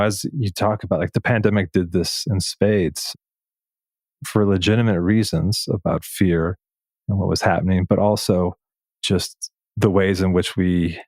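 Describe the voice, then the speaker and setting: Deep-voiced man, closely recorded. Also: no room sound. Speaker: podcast host, interviewer. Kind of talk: reflective, conversational interviewing.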